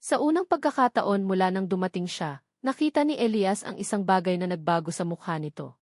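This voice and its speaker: A woman reading a scripted dramatic story in measured, deliberate short phrases. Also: mid-range voice, lively intonation, a little mellow, no echo, studio quality. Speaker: audiobook narrator.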